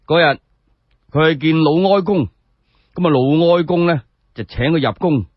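A man with a Cantonese accent telling a radio story solo, in expressive, colloquial, explanatory narration. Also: a little high, normal speed, no echo. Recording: slightly dull, lo-fi, dry sound.

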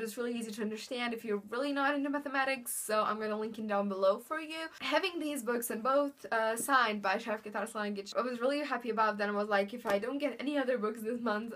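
A woman's voice: a little high, a bit bright. A young woman with a Bosnian accent, chatting casually and cheerfully about her new books in front of a bookshelf at home. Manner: chatty, upbeat, many fillers and "really". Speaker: book vlogger. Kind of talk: casual chat. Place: indoor room in front of bookshelves. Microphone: camera in front of her.

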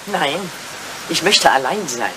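An older woman acting a proud, candid elderly English lady in a radio play, brisk, genteel and outspoken. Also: low-pitched, lively intonation. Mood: reproachful. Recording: slightly noisy old radio play recording.

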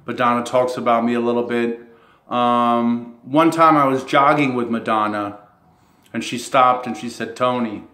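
A man talking casually to his fans in a rambling, halting way, chuckling between phrases. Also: medium pitch, fairly bright tone. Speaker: self-described model. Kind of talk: casual monologue to camera. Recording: roomy echo.

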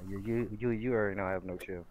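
Deep-voiced slangy man from Jersey. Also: steady tone, racing, darkish tone, slight background noise. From New Jersey. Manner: slangy, teasing. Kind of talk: casual banter.

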